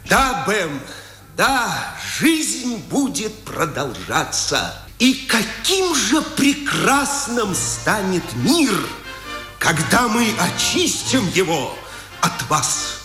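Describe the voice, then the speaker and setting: An actor playing a proud, defiant condemned communist prisoner in declamatory 1950s Soviet radio drama style. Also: male voice, high voice, wildly swooping pitch, music in the background, full character voice. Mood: proud, fervent. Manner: declamatory, emphatic, deliberate. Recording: echoey 1950s radio play recording.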